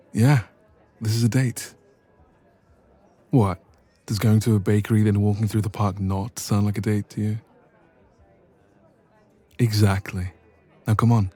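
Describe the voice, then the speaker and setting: Man acting as affectionate young male best friend for romance audio roleplay; dark, relaxed, lowish voice, hesitant, intimate, gently teasing. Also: husky. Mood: playful, affectionate.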